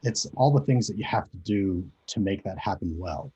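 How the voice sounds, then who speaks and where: A man's voice: sits low, animated, normal speed, dark tone, breathy edge, dull audio. A man in his forties, raised in Japan, giving a hesitant, conversational presentation over a video call with many fillers. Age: 40s. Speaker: conference speaker. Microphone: video call mic.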